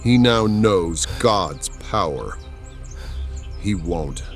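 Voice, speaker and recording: A man playing a haughty, zealous villainous cardinal in an audio drama, pompous and deliberate. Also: low voice, animated, soft background music, full character voice. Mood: imperious.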